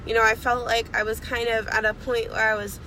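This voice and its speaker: Young woman, rambling casual phone message, getting over a cold, car air conditioning humming loudly behind. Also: a little high, little pitch movement, brisk, a little hiss or hum, rough recording. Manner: chatty, rambling, lots of fillers. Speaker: network marketing seller.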